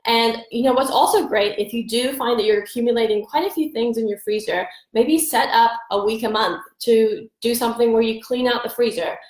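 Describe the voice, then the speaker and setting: A congested woman with a stuffy nose talks on a live stream, upbeat and chatty as she gives food tips. Her voice sits a bit high, and the room around her rings with echo. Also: a little fast, hardly any pauses. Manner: upbeat, chatty, greets viewers by name. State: congested from allergies. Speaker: nutrition coach hosting a live stream. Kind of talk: casual live-stream advice. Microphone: phone or webcam for a live stream.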